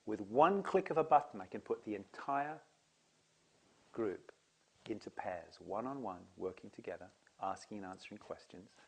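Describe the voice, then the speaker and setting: A man's voice: lowish voice, lively intonation, normal speed, creaky, husky, rough recording. A man who leads seminars, explaining to camera in an earnest, enthusiastic way. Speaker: seminar leader.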